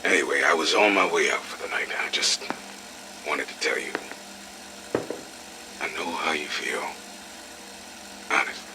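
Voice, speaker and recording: An actor playing a blunt but sympathetic, weathered army major in an audio drama. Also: male voice, rumbling low, unhurried, long pauses, background noise. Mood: frank.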